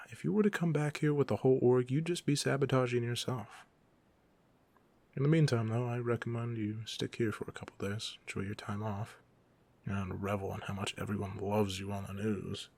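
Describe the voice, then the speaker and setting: A man playing a cocky, playful, secretly soft-hearted young supervillain in a casual, intimate roleplay audio drama, teasing the listener as he talks. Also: fairly low pitch, steady tone, moderate pace, creaky. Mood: smug, relaxed. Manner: teasing, casual, smug, conversational.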